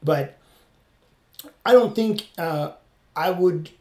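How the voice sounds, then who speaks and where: A man's voice: fairly high pitch, very slow, a little mellow, echoey room. A man from Turkey hosting a casual podcast monologue while running, rambling and reflective with many fillers. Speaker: podcast host and comedian.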